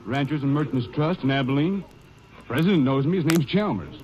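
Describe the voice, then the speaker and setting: An actor playing a defensive rancher in a radio drama, talking in a colloquial drawl. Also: male voice, on the high side, expressive, fairly quick, darkish tone, lo-fi sound, natural voice. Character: nervous, defensive male rancher.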